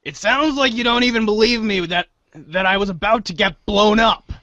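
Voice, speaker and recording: A woman's voice: medium pitch, moderate pace, fairly bright tone, no room sound, lo-fi sound. A woman acting in a casual comic table read, hesitant and a bit exasperated, breaking off for asides. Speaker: actor in a reader's theater show.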